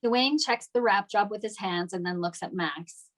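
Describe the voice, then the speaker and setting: A woman serves as narrator for a script table read. The voice is medium in pitch, and the delivery is scripted description of scenes and actions. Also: normal speed. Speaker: stage-direction narrator.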